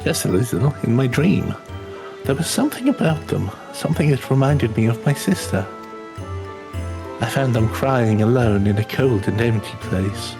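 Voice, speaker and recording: Man putting on an earnest, gentle young boy. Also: medium pitch, lively intonation, normal speed, creaky. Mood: earnest, grateful. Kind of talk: acted reading of video game dialogue. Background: game music.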